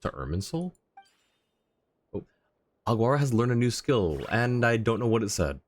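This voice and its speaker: A man livestreaming a game with casual, thoughtful commentary as he chats with viewers, often pausing to find his words. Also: mid-range voice, sing-song. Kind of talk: casual live commentary, answering chat.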